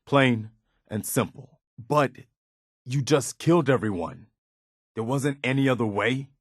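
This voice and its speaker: An actor playing an earnest, weary, principled militia soldier as a video game companion, speaking in a sincere, plain-spoken, conversational way. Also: male voice, mid-range voice, a little slow, no room sound, studio quality, lightly acted. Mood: indignant.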